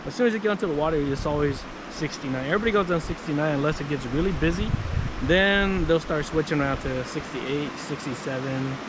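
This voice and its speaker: A man giving an informal, unscripted how-to in a halting, casual way, often repeating himself. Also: a little high. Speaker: vlogger demonstrating handheld radios. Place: outdoors near water. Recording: noisy outdoor wind and water ambience.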